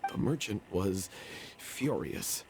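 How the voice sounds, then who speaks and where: An actor voicing a guilt-ridden, defensive young stage performer in an anime-style game, speaking earnestly and haltingly, as if confessing. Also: male voice, lowish voice, lively intonation, a little mellow, a little hiss or hum. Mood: regretful.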